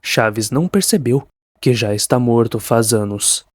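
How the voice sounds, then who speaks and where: A man's voice: mid-range voice, lively intonation, a little slow, background noise. A man with a Brazilian accent reading a scripted horror story as its narrator.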